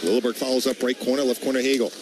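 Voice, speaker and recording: A male radio announcer calls live hockey play-by-play in clipped, quick phrases. His voice sits at a medium pitch. There is clear background noise from the live broadcast. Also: little pitch movement. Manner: clipped rapid-fire phrases, calling each move as it happens.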